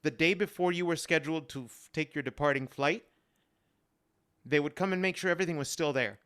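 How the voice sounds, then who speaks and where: A man's voice: on the high side, a little fast, fairly bright tone. A man speaking as a panel guest on a live stream, telling personal stories earnestly and repeating phrases for emphasis. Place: remote live-stream video call.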